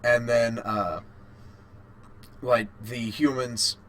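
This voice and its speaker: Slow, casual man explaining as a fan. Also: medium pitch, steady tone, a bit bright. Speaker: podcast co-host. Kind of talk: casual, enthusiastic fan chat.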